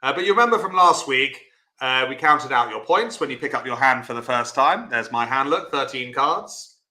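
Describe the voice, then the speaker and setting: A loud, chatty man teaches a live online bridge class, talking learners through each step in an encouraging way. His voice is bright and forceful. The room sounds echoey. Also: medium pitch, animated, normal speed.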